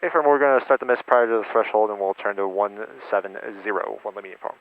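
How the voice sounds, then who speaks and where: Guy instructor pilot rattling off radio calls super quick, muffled headset sound. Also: on the low side, a little hiss or hum. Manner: rapid, clipped radio phraseology. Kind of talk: radio calls and casual cockpit chat. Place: small plane cockpit.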